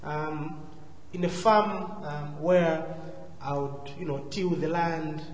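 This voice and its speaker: A man with a southern African accent giving a personal testimony as a guest speaker, courteous, measured and hesitant. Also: fairly high pitch, fairly even intonation. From Zambia and Malawi. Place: large church hall, live before a congregation. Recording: echoey hall, faint noise.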